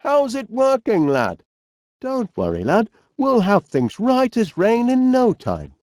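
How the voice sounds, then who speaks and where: A man's voice: very high-pitched, huge pitch swings, slow pace, darkish tone, dry recording, studio quality, exaggerated voice. A man voicing a cheerful, fussy middle-aged inventor with a Northern English accent in a video game, genial and bumbling. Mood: helpful, chirpy. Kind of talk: animated video game character voice lines.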